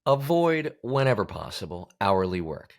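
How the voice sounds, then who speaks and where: Low-voiced, animated man coaching. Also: normal speed, vocal fry. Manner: emphatic, blunt, colloquial. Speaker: voice-over coach hosting an advice show. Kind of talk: scripted instructional talk to camera.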